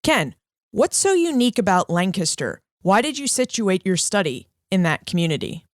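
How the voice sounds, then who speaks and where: A woman's voice: medium pitch, big pitch swings, moderate pace, no room sound, clean studio sound. A woman hosting a history podcast, reading a scripted introduction and then welcoming and questioning her guest in an upbeat, friendly way. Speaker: podcast host.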